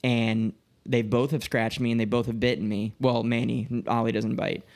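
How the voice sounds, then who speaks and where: A man in his late twenties with an average-pitched voice talks loosely and informally as a podcast co-host. He tells stories in an animated way, voicing the dialogue he quotes and swearing freely. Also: normal speed, gravelly. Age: late 20s. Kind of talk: casual chat, telling personal anecdotes.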